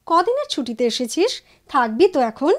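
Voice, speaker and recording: High-voiced, frank woman friend acted by a woman. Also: big pitch swings, normal speed. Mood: inquisitive. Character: reflective, outspoken female childhood friend. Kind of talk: Bengali audio drama acting. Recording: studio drama with drums and music behind.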